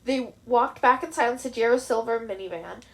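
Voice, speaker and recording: A woman with a high voice narrates fan fiction. Her reading is expressive, in the manner of an audio story told by a hobby reader. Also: fairly quick.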